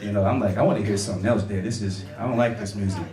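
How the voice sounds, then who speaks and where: A man's voice: on the low side, rapid-fire. A man from Detroit, a jazz horn player, chatting casually with the audience between songs, his voice a little worn. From Detroit, Michigan. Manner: relaxed, chatty, asks the crowd questions. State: losing his voice. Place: live club show with audience. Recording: roomy live club recording from a distance, crowd noise.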